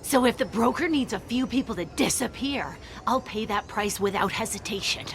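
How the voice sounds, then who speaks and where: A woman voicing a ruthless, scornful female alien secret agent in a cinematic video game, speaking forcefully. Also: on the high side, lively intonation, moderate pace, gravelly, soft background music. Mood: cold, self-righteous.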